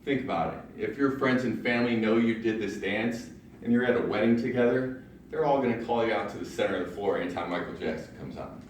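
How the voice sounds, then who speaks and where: A young man from Ohio with a deep voice, giving a prepared personal speech with self-deprecating jokes at a public speaking club. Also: fast, distant mic, a little hiss or hum. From Ohio, USA. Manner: self-deprecating humor, storytelling with punchlines. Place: large meeting room in a library. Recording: very echoey room, recorded from afar.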